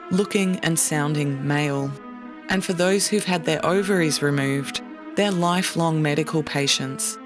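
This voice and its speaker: A podcast narrator reading a scripted documentary narration in a measured, serious way. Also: mid-range voice. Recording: dry studio voice with faint music.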